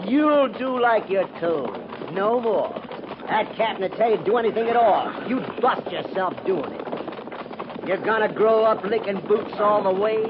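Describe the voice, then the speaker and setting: An actor playing a needling, bitter cavalry trooper in a 1950s radio western, taunting in folksy frontier speech. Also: male voice, high-pitched, lively intonation, a little slow. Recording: noisy muffled 1950s radio recording.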